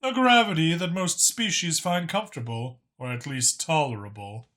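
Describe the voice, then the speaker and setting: A man voicing a dignified male dragon in an audiobook, speaking in a measured, guarded and formal way. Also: fairly high pitch, fairly bright tone, close to an everyday voice. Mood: explanatory. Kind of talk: audiobook character acting.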